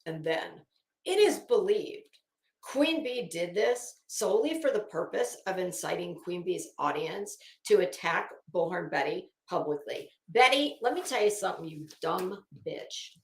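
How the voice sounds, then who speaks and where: A woman's voice: lowish voice, lively intonation, a little slow. A blunt woman giving sarcastic, dramatic commentary as she streams, mixing reading aloud with off-the-cuff remarks and swearing. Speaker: commentary host, live streamer. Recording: echoey, roomy sound.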